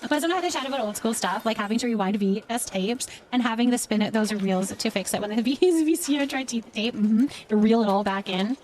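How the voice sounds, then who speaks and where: A woman's voice: fairly high pitch, fast, few pauses, a little mellow, slight background noise. A middle-aged woman hosting a casual live stream, chatting informally and thanking viewers as she answers their comments.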